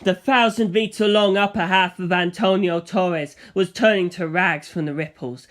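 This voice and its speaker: Expressive storyteller narrating fiction. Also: medium pitch. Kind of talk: expressive audiobook narration with character voices.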